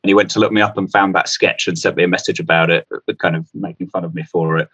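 Dull sounding dry recording of a deep voiced guy, comedian rambling casually, self-deprecating. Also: fairly even intonation, quick pace, fairly bright tone, breathy edge, dry recording. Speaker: comedian guest. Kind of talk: casual chat, anecdotal.

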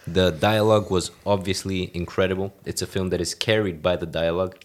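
Enthusiastic, low-voiced podcast host. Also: male voice, steady tone, fairly quick. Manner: enthusiastic, swears casually. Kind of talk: casual film discussion.

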